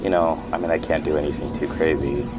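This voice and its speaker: Loud music plays behind an anxious man with a low voice. He is hesitant and self-conscious, rambling through his thoughts in natural audio-drama dialogue. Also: level tone, quick pace, rough recording. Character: anxious, forgetful man recovering from an accident, living alone. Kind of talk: naturalistic fiction podcast dialogue.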